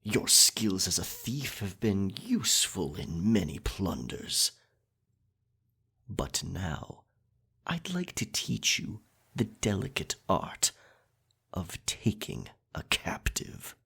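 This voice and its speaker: A man playing a dominant, possessive pirate captain in an intimate ASMR roleplay, teasing and sly, with a raspy, breathy voice. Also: big pitch swings, pushed and bright, heavily acted. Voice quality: raspy, breathy, gravelly low voice. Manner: slow, deliberate, teasing pirate speech.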